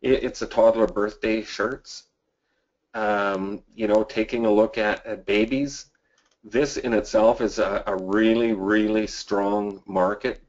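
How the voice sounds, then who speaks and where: A man from Canada hosting a live sales webinar, answering chat questions in a casual, upbeat way with many fillers. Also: fairly low pitch. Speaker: webinar host. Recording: dull lo-fi audio.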